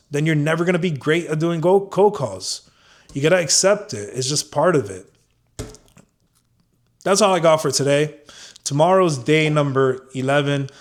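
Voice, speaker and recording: A man vlogging an unscripted motivational talk, earnest and off the cuff, with frequent fillers and restarts. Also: fairly high pitch.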